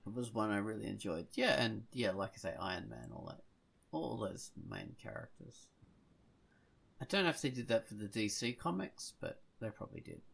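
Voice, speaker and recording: A man's voice: on the low side, big pitch swings, normal speed, creaky, a bit bright, husky. A man chatting casually and enthusiastically as a podcast co-host in an informal way.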